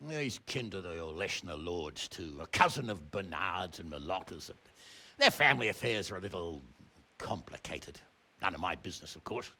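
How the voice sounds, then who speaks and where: An actor playing a blunt, commanding older lord in a medieval video game, with a husky, gravelly voice. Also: male voice, sits low, sing-song, moderate pace, pushed and bright, a little hiss or hum, exaggerated voice. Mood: matter-of-fact.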